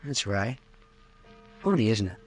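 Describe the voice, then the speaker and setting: A man's voice: fairly low pitch, animated, a little slow, slightly rough, faint music behind. An actor playing a protective, nervy young man in an old-time radio horror drama, urgent yet reassuring. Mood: uneasy. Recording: vintage radio drama recording.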